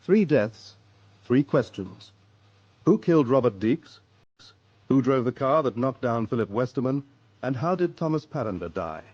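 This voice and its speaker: A man's voice: medium pitch, lively intonation, moderate pace, pauses often, darkish tone, dry recording, dull audio. A man giving a scripted introduction to a radio drama as announcer.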